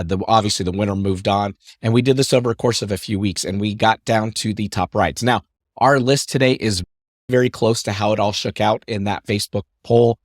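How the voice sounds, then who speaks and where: A podcast host talks in a chatty, upbeat way and wanders into asides. His voice is on the low side and rough from a lingering cough. He speaks close into a headset, and the sound is dry. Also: no echo. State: voice rough and lowered after a recent illness and cough. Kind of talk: casual podcast monologue.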